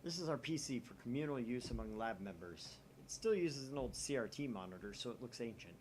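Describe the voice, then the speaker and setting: A man's voice: mid-range voice, lively intonation, fairly quick, darkish tone, a little hiss or hum, poor audio. A man hosting a let's play, reading visual novel text aloud and slipping in playful, wry asides from home.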